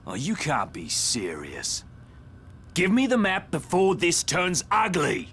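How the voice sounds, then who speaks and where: Game survivor: mid-pitched, highly inflected, terse, impatient and sardonic, light background noise. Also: male voice, huge pitch swings, takes it slowly, a bit bright, full character voice. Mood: irritated. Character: blunt, impatient, distrustful male survivor. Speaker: video game character. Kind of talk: dramatic video game cutscene acting.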